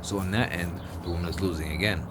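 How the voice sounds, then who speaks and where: Deep-voiced man ranting outdoors. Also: steady tone, quick pace. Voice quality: deep bass voice. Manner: rambling, blunt and profane, with many restarts. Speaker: vlogger. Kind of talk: casual opinion monologue. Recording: outdoor street noise with passing vehicles.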